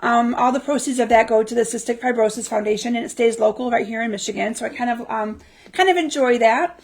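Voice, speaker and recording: A woman hosting a crafting livestream in chatty, casual talk full of ums, her voice slightly raspy from a lingering cough. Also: on the high side, fast talker, a little mellow. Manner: chatty, lots of ums and restarts. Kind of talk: casual live-stream chat. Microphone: livestream mic.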